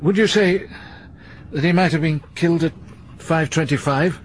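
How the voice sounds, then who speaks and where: An actor playing an impatient retired army major, brusque and insistent, in a radio drama. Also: male voice, on the high side, normal speed, a little hiss or hum, natural voice. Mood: uneasy. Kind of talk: 1980s BBC radio drama acting.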